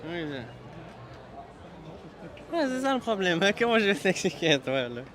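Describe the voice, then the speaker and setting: High-pitched man challenging insistently amid crowd. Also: very animated, a bit bright. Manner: halting, repeats phrases, insistently challenging. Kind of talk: informal religious street debate. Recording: outdoor crowd noise behind the voice.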